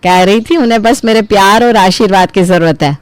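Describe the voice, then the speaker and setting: Cartoon family drama: good-hearted, gullible matriarch played by a woman, mid-pitched, affectionate, close dry sound. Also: brisk, smooth, dry recording, lightly acted. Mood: humble, moved. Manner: effusive, affectionate, blessing. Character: good-hearted, trusting elder sister-in-law and mother.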